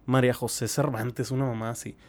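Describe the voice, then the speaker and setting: A man with a Mexican Spanish accent co-hosting a podcast, chatting casually with crude slang and animated retellings. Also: mid-range voice, a little fast. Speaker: podcast co-host. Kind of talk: casual chat.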